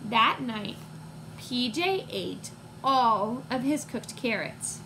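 A woman in her twenties reading a picture book to young children, expressive and teacherly, pausing to put questions to listeners. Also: high-pitched. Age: 20s. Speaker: read-aloud storyteller for young children. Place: small room at home, indoors. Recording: clear background noise, home recording.

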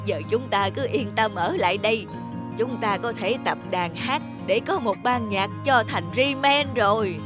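A woman's voice: fairly high pitch, normal speed, music in the background, close to an everyday voice. A woman voicing a friendly, eager talking farm animal in a cartoon fairy tale. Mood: pleased, scheming.

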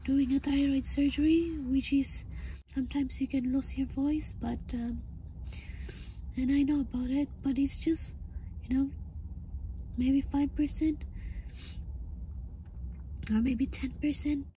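A woman in her thirties with a hoarse, breathy voice that often breaks into a whisper, upset and halting as she gives a casual personal update to camera. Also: sits high, steady tone, mellow, rough recording. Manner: halting, hesitant. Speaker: vlogger. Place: inside a parked car. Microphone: phone at arm's length. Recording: faint background noise in car.

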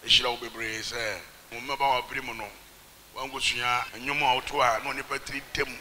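A man's voice: mid-range voice, level tone, fairly quick, pushed and bright, a little hiss or hum. A middle-aged man, hosting a formal, celebratory church interview on stage with a handheld mic. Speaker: host, interviewer.

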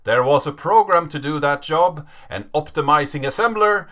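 A man in his thirties giving a dramatic reading of a written story with deliberate, emphatic phrasing. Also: on the high side, lively intonation, fairly bright tone. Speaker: narrator reading a story aloud.

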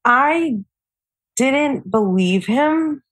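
A woman's voice: sits high, lively intonation, drawn-out words, a bit bright. A young adult woman, a singer-songwriter guest on a podcast, chatting casually and filling her talk with "like" and "you know". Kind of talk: casual interview chat.

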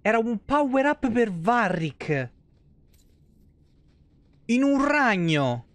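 A man's voice: extremely high pitch, very animated, drawn-out words, no room sound. A young man from Italy doing casual live gameplay commentary, playful and foul-mouthed. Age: young adult. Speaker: live game streamer.

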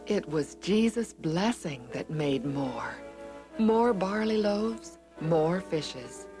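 A woman narrating a children's Bible story in an expressive, deliberate manner. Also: lowish voice, over music.

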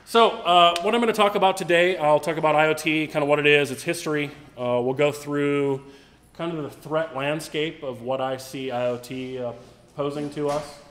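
A man's voice: a little high, fairly even intonation, normal speed, a bit bright, a little hiss or hum. A man giving a casual, blunt conference talk, salty and joking, heard through a room PA in a large hall. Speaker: conference speaker.

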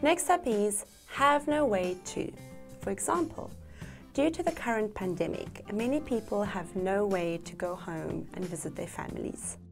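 A woman in her thirties co-presenting an English lesson in a studio, repeating key words clearly for learners. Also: medium pitch, lively intonation, measured pace, faint music behind. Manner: brief repeat-after-me echoes. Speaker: English teacher. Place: studio in front of a backdrop.

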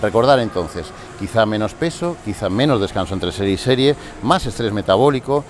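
Lower-voiced man coaching in a gym. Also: animated, a little fast, slight background noise. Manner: explanatory, checks in with listeners often. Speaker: fitness coach. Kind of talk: gym exercise instruction. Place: large indoor gym. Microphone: lapel mic. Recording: music playing clearly behind.

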